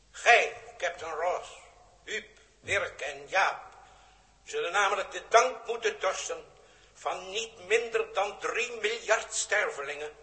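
Casting: stately prime minister, high, bright forceful voice, solemn oratory for vintage sci-fi radio. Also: male voice, heavily acted, rough recording. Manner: solemn, declamatory. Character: grave, grandiloquent prime minister of Earth. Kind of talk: 1960s Dutch radio drama oratory.

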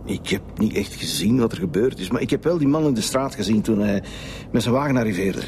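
A man's voice: fairly low pitch, lively intonation, brisk, darkish tone. A man playing a hesitant, apologetic middle-aged witness with a Flemish accent, in naturalistic radio drama dialogue. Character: hesitant, apologetic male witness in his fifties. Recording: clear background ambience noise.

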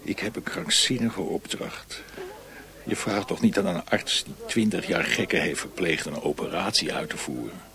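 An actor playing a weary, haunted former asylum doctor who craves opium, muttering bleakly in a radio drama. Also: male voice, sits low. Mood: bitter, despairing.

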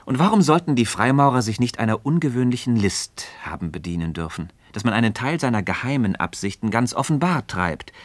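An actor playing a learned, earnest and gently ironic Freemason friend who teaches in a measured, rhetorical way in a radio drama. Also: male voice, mid-range voice, sing-song, no echo, lightly acted. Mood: persuasive. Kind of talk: scripted philosophical dialogue in German radio drama.

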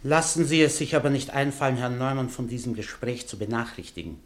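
A man's voice: mid-range voice, expressive, a little fast, close to an everyday voice. A man playing a curt, menacing henchman. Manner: curt, threatening. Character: blunt, menacing male henchman named Leo. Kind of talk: radio play acting.

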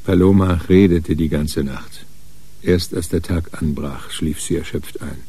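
Male narrator for crime radio play, very deep and husky, scripted delivery. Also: measured pace, warm tone.

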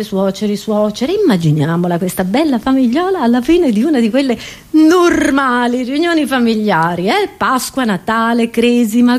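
Theatrical Italian woman comedian. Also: fairly high pitch. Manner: theatrical, expressive, sardonic. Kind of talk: scripted comic monologue.

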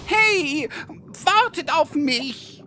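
A woman's voice: sits high, very slow, background noise, exaggerated voice. A woman playing a whiny, bossy online gamer in a comedic audio drama. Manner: complaining, excitable.

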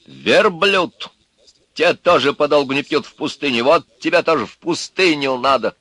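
A man doing a loud, pushy drinking pal. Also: a little high, no echo, voice pushed up. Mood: angry. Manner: loud, insistent, quick to curse.

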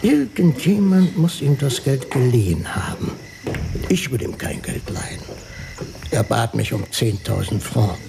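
A man's voice: mid-range voice, expressive, measured pace, vocal fry, background noise. An actor playing a pompous, self-important, suspicious businessman who declaims in a radio drama.

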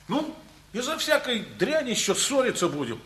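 A man's voice: very high-pitched, big pitch swings, moderate pace, exaggerated voice. A man acting a blunt, blustering uncle with a hearty appetite in a radio play. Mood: boisterous. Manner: loud, blunt, bullying.

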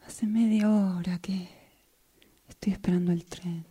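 A woman's voice: on the low side, fairly even intonation, measured pace, dark tone, husky. A woman acting in amateur radio theatre as a weary working woman waiting for a train. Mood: weary, pensive.

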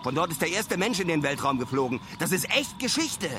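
Brash high-voiced young male traveller. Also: very animated, fairly quick, background noise. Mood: excited, fascinated. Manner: slangy, clipped spoken-diary phrasing. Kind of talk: radio drama monologue.

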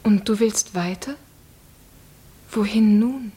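An actress playing a shy, guarded young island princess in a 1950s German radio drama, soft-spoken, with simple, reserved replies. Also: female voice, mid-range voice, slow pace, husky. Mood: hushed, shy.

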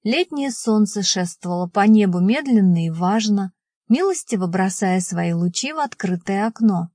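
A female audiobook narrator reads first-person fiction from a script. Her voice sits at a medium pitch for a woman, in a very dry, close recording. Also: lively intonation, normal speed, warm tone, dry recording.

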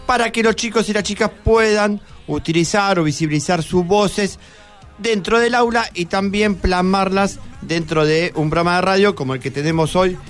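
A man with an Argentine accent from Buenos Aires hosting a live radio interview, conversational and prompting with questions. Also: fairly high pitch. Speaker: radio host.

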